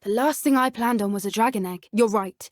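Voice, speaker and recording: A woman's voice: a little high, brisk, dry recording, clean studio sound, lightly acted. A woman voicing an earnest, plucky teenage witch in a video game, with a British accent and a polite, slightly old-fashioned way of talking. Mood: earnest, thoughtful. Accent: British English. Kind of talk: scripted game character dialogue.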